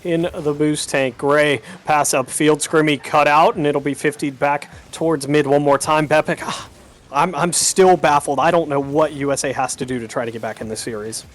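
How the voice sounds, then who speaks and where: A male esports commentator calls a live match play by play. His pitch is a bit above the usual for a man. He tracks each move and adds short bits of analysis. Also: steady tone, moderate pace.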